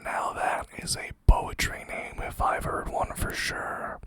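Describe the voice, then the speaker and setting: An ASMR host whispering poems into a close mic, reading slowly with chatty asides, voice a little hoarse. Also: a bit bright. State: slightly hoarse, losing voice.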